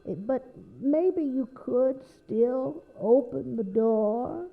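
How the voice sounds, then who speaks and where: A woman's voice: sits high, expressive, drawn-out words, creaky, full character voice. An actress playing a folksy, sweetly insistent neighbor woman with a creepy undertone in an audio horror drama. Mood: coaxing. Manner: syrupy, coaxing, folksy. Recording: dull muffled sound with faint noise.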